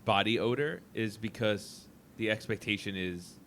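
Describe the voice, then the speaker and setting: A man's voice: lowish voice, steady tone, moderate pace, a bit bright, a little hiss or hum. A man hosting a casual podcast, musing aloud with playful, jokey asides while chatting with students. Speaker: podcast host.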